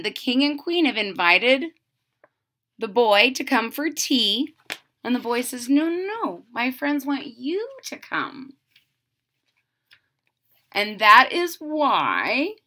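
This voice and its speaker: A middle-aged woman reads a picture book aloud for children with casual asides. Her voice is high and very lively in pitch, and she takes her time, with exaggerated expression. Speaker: storyteller reading a picture book. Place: small room at home, indoors.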